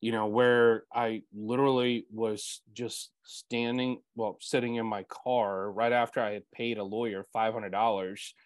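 A man's voice: on the low side, flat delivery, unhurried, few pauses, no echo. A man in his forties with a Bulgarian mother tongue, hosting an interview and telling his story earnestly, full of "you know" fillers, over earbuds on a video call. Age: 40s. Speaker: podcast host.

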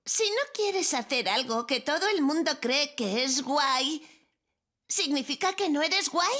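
A woman's voice: high-pitched, lively intonation, a little slow, sharp tone, full character voice. A woman voicing a sensible, earnest little girl with a questioning manner in a children's cartoon dub. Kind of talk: dubbed children's cartoon acting.

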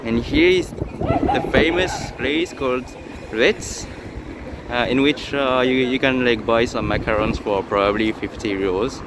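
A man's voice: mid-range voice, a little slow. A young man with a French accent, chatting and joking through a casual city vlog, filmed on a phone at arm's length outdoors. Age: young adult. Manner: chatty, joking, many fillers and restarts. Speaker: vlogger. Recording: outdoor city noise behind. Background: street noise.

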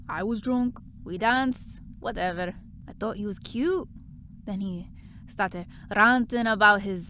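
A woman's voice: mid-range voice, a bit bright, a little hiss or hum, close to an everyday voice. A woman playing a breezy, blunt young part-time worker in an audio drama, chatty and offhand. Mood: awkward, offhand.

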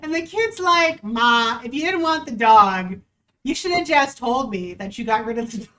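A woman's voice: a little high, expressive, normal speed, pushed and bright, recorded from a distance. A young woman, a dog trainer, chatting casually and wryly as she reads a news story aloud and comments on it. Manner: chatty, wry, reads article aloud with asides.

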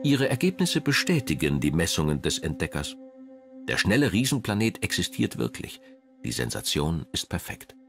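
A man reading a scripted documentary narration in a measured, dramatic manner. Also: low-pitched, big pitch swings, music in the background.

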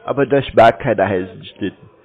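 A man's voice: lowish voice, normal speed, a crowd in the background. A man in a casual phone conversation, speaking politely, evasively and with a naive air. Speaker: scam-baiter taking a call. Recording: tinny narrowband phone call audio.

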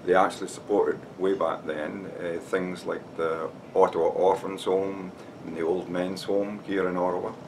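An older man with a Scottish accent explains things plainly, pausing on fillers and "now". His voice is deep and gravelly. He sits back from the camera mic, with a faint hiss under his words. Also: recorded from a distance. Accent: Scottish, Dumfries. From Scotland. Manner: steady and explanatory, with frequent "uh" and "now". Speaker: society member giving a talk. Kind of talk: informal prepared talk to camera. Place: room with shelves and flags behind.

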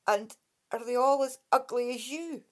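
A woman's voice: fairly high pitch, normal speed, pitched above the performer's own voice, natural voice. A woman voicing a bored, blunt girl of nearly thirteen with a Scottish accent. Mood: curious. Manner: blunt, curious.

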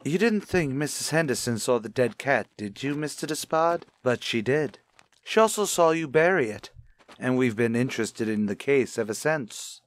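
An actor playing a shrewd, probing police captain with a sardonic manner in a radio mystery. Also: male voice, mid-range voice, animated, normal speed, close to an everyday voice. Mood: brisk, probing. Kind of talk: retro radio mystery acting.

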